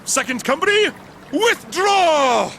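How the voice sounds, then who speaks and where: A man's voice: very high-pitched, lively intonation, unhurried, pushed and bright, slight background noise, exaggerated voice. A man playing a blustering, rigid military commander with an imperious, barking delivery in a dramatic video game. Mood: barking orders.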